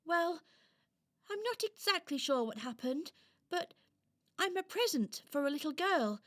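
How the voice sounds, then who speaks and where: A woman's voice: high voice, sing-song, unhurried, a bit bright, full character voice. A woman voicing a timid, apologetic little toy in a children's radio play, earnest and hesitant. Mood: earnest, anxious.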